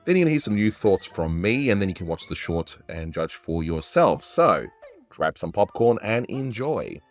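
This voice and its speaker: A man's voice: fairly low pitch, sing-song, moderate pace, faint music behind. A man hosting a casual animation review, chatty and analytical, with frequent restarts. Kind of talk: casual cartoon review commentary.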